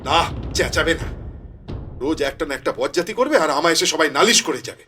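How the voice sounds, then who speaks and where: An angry, strict village father played by a man in a children's cartoon, with a forceful voice that jumps widely in pitch. He scolds and repeats his orders over faint background music. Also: animated, brisk. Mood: angry scolding. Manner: scolding, emphatic, repeats commands.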